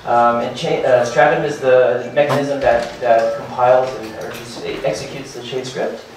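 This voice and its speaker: A man in his thirties presents his startup to a seated meetup crowd, hurrying and stumbling over fillers and repeated words. His voice sits at an ordinary middle pitch. The recording is picked up from across a very reverberant room, with faint room noise behind. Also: fairly even intonation. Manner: hurried, filler-heavy, restarts and repeats words. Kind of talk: conference talk. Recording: very echoey room from far off.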